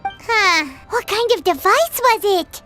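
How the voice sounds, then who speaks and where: A woman voicing a tiny, excitable childlike fairy companion in a video game, with a squeaky voice. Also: takes it slowly, exaggerated voice. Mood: amazed. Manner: refers to self in third person, exclaims. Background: background music.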